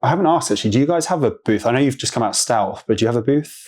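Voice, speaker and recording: A man's voice: medium pitch, lively intonation, very fast, darkish tone. A man hosting a podcast, interviewing a guest at a conference with upbeat, encouraging replies. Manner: upbeat, short encouraging backchannels. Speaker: podcast host. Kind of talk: casual interview. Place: conference venue.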